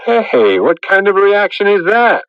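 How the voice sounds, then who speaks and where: An actor playing an easygoing, modest young farmer in a radio drama, casual and plain-spoken. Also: male voice, high-pitched, animated, moderate pace, smooth, dry recording. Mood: bashful, happy. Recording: dull, dry old radio recording.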